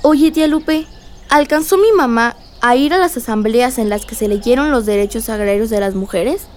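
A woman's voice: fairly high pitch, moderate pace, a little mellow, slight background noise, natural voice. A female performer playing a curious, affectionate young girl in a radio drama, asking innocent questions. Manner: inquisitive, innocent.

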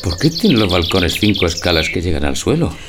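A man's voice: deep voice, big pitch swings, fairly quick, background noise. A man playing a timid, besotted older newlywed husband in a radio drama, earnest and hesitant. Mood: anxious, questioning. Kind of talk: stage-like radio drama acting.

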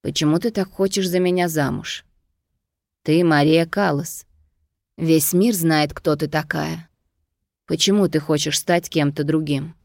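A man's voice: a little high, fairly even intonation, unhurried, gravelly, darkish tone, dry recording, studio quality. A woman narrator voicing a domineering, possessive and impatient wealthy Greek lover in an audiobook. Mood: persuasive.

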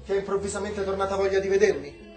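A woman playing a warm, teasing Cuban man, an old flame, in a radio drama. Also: medium pitch, fairly even intonation, racing, natural voice. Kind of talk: stage-style radio drama acting. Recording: echoey room, music behind.

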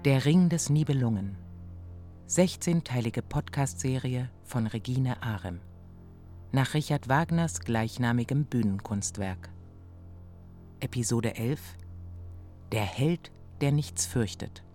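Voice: medium pitch, lively intonation, slow, long pauses, mellow, soft background music. An announcer reading scripted series titles and credits for a radio play.

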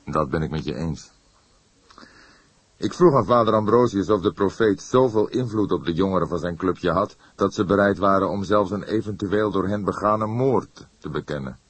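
A man playing a seasoned, thoughtful older police inspector in an audiobook, speaking in a measured, deliberate way. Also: low voice, husky, no room sound. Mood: explanatory. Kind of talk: audiobook character acting.

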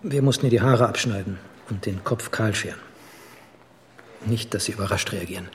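An actor playing a sober hospital doctor in a radio play, measured, frank and considerate. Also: male voice, fairly low pitch, a little hiss or hum, lightly acted. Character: sober hospital doctor advising a patient's husband.

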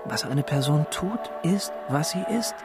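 An actor playing an earnest, brooding wanderer in a science-fiction radio drama, speaking pensively and deliberately. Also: mid-range voice, lively intonation, over loud music, close to an everyday voice.

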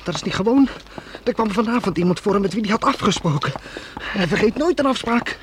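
An actor playing an anxious young merchant's son in a radio play, earnest and stammering with urgency. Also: male voice, high-pitched, animated, fairly quick. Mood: worried, urgent. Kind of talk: Dutch radio play acting. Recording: noisy old radio play recording.

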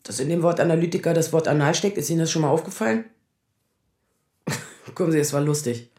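A woman playing a sharp-tongued, anxious lawyer in her thirties in a naturalistic radio drama, sarcastic and defensive. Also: low-pitched, quick pace, echoey room, natural voice. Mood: bitter sarcasm. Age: 30s.

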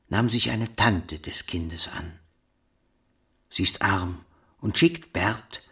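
An actor playing a village chronicler in a radio drama, telling of a sad fate in a deliberate, matter-of-fact way. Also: male voice, fairly low pitch. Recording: faint music under the voice.

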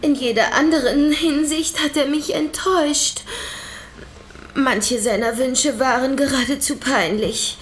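An overwrought woman under questioning in a radio play. She speaks melodramatically in a rather high voice. There is faint noise behind her. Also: a little slow. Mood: disappointed. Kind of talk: radio drama acting.

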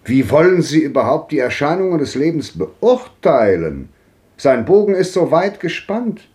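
A man's voice: on the high side, sing-song, normal speed, a little mellow. Declamatory, world-weary old man, sweeping intonation. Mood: reflective, declamatory. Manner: declamatory, rhetorical phrasing. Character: old man, cultured, world-weary but composed reflective thinker. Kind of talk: 1950s German radio drama monologue.

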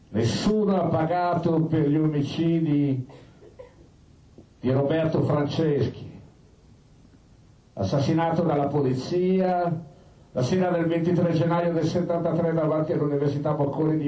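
A man's voice: mid-range voice, dark tone. Male theatre narrator. Grave, declamatory monologue in a muted timbre, heard off-mic in an echoing space. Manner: solemn, declamatory, list-like delivery. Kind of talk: scripted civic theatre narration. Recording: roomy, distant from the microphone.

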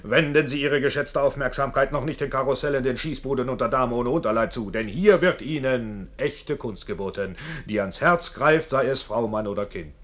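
An actor playing a pompous fairground barker, narrating in a showy, declamatory, coaxing radio drama style. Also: male voice, medium pitch, moderate pace, few pauses, a bit bright, a little hiss or hum, lightly acted. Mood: imploring showmanship. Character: pompous, grandiloquent male fairground ballad barker.

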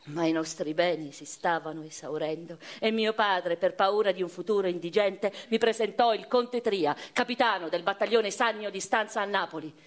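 An actress playing a passionate, literary eighteenth-century noblewoman, telling her life in an expressive, reflective radio-theatre monologue. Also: medium pitch, normal speed, hardly any pauses, lightly acted. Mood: bitter, sombre. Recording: music behind the voice.

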